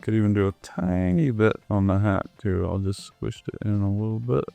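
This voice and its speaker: A man with a hoarse voice, recovering from the flu, explaining his animation work step by step with many ums and uhs. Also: on the low side, big pitch swings, moderate pace, mellow, no room sound, soft background music. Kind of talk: screen-recorded tutorial walkthrough.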